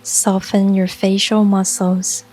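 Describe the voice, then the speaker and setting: A woman narrating a scripted guided meditation in a calm, soothing, unhurried way, with long pauses between phrases. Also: medium pitch, rough recording. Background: soft music and rain sounds.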